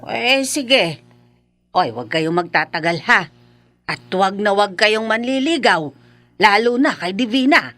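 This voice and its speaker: A woman's voice: medium pitch, expressive, measured pace, a bit bright, no echo, faint music behind. An actress playing a strict, suspicious middle-aged mother from the province in a radio drama. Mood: stern.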